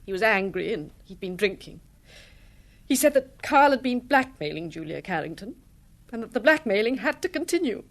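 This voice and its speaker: A woman's voice: mid-range voice, sing-song, normal speed, fairly bright tone. An actress playing a troubled married woman in a radio drama, earnest and hesitant as she confides. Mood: distressed.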